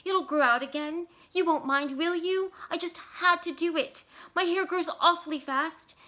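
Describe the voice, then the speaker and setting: A woman's voice: very high voice, normal speed, bright, forceful tone, voice pushed up. A woman voicing an eager, pleading, tender young wife in a dramatized short story reading, with a gushing, emphatic manner.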